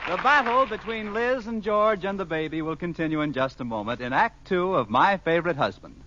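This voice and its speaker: A man's voice: high voice, lively intonation, a little fast, a crowd in the background, noisy background. A man working as a show announcer, reading continuity in old-time radio style. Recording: muffled old radio recording, music behind.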